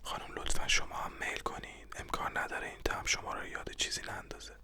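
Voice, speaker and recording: A narrator voicing a paranoid, agitated man from a stage play in a whispered voice. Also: bright, forceful tone. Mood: musing.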